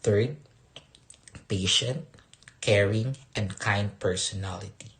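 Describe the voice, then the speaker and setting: A man who works as a school administrator delivers a scripted presentation in a formal, measured manner, point by point. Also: fairly low pitch, a bit bright.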